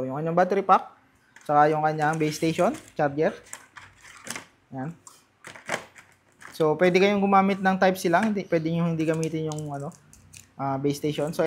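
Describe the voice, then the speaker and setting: A man's voice: on the high side, moderate pace, long pauses, slight background noise. A Filipino man doing casual unboxing and testing commentary as a vlogger, talking informally straight to his viewers and mixing in English. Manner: informal, addresses viewers directly, mixes Tagalog and English.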